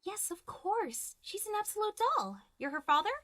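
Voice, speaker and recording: Woman as gracious high-voiced cartoon pony princess. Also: sing-song, normal speed, a bit bright, no echo, clean studio sound, full character voice. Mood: friendly, welcoming. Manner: polite, welcoming. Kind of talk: animated fan drama voice acting.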